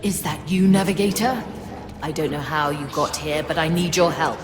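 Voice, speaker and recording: A woman's voice: low voice, normal speed, lightly acted. A woman voicing an earnest, troubled spirit of a ghost ship in a video game, with a solemn manner. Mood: urgent. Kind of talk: dramatic video game voice acting. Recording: long reverb, music behind.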